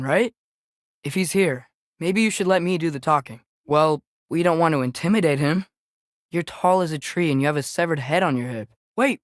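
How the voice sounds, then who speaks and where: A man's voice: a little high, no room sound, natural voice. A young male actor voicing an earnest, curious teenage boy adventurer in a video game, tentative but eager in a naturalistic style, recorded dry and close. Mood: urgent, excited.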